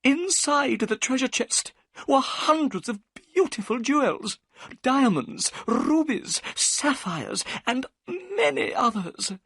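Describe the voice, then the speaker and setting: Thaddeus Sholto, a reader doing an excitable gentleman. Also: medium pitch, moderate pace, husky, dry recording, pitched above the performer's own voice. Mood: excited. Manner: eager, excitable, talkative. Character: nervous, talkative middle-aged gentleman.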